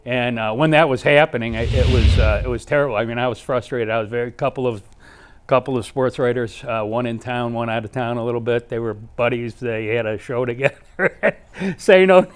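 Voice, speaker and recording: A man's voice: mid-range voice, brisk, a little hiss or hum. A middle-aged man telling anecdotes casually as a sports guest, rambling and repeating phrases as he goes.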